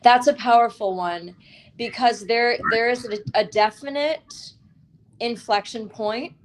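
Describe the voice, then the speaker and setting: A woman speaking as an interviewed author, earnest and reflective, with deliberate, emphatic phrasing and pauses. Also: a little high, slight background noise, low-quality recording.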